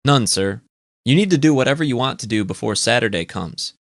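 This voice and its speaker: A man's voice: fairly low pitch, moderate pace, no echo, clean studio sound, lightly acted. A man voicing a humorless, foreign-accented male informant who speaks stiffly and formally.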